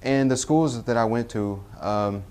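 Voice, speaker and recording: A young man from Tennessee, telling his personal faith story in a halting way, with frequent pauses and self-corrections. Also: medium pitch, a little mellow, clean tone. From Tennessee, US Southern. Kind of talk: personal testimony.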